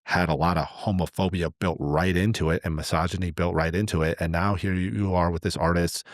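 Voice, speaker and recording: A middle-aged man in casual, reflective podcast conversation, earnest and a little halting as he thinks aloud. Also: low-pitched, fairly even intonation, dry recording, clean studio sound. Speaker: podcast host.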